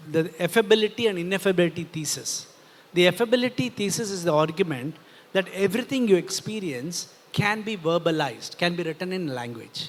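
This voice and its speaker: A man with an Indian accent explains ideas in a didactic way as a panel speaker at a festival discussion, checking that listeners follow. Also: sits high. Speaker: panel speaker, philosopher and author. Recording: reverberant hall sound.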